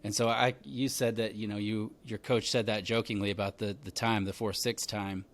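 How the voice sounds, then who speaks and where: A man in his thirties hosting a podcast, telling stories in a relaxed chatty way full of "like" and "man". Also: on the low side, normal speed. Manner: relaxed storytelling, lots of fillers like "like" and "man". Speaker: podcast host. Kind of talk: casual conversational interview.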